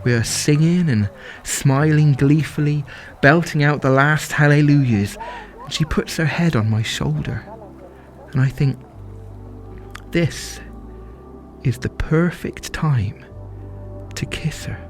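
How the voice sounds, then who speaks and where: A man performing a dramatic first-person monologue as a lovestruck, excitable young festival-goer, with poetic, rhythmic delivery. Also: fairly high pitch, slow. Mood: tender, elated. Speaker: solo performer. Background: music and sound design behind the voice.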